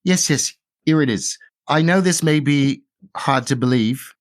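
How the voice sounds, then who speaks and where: A man's voice: on the high side, a little slow, voice pushed up, natural voice. A man voicing a chatty female theatre manager with a breezy, folksy, hesitant way of explaining. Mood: helpful, musing.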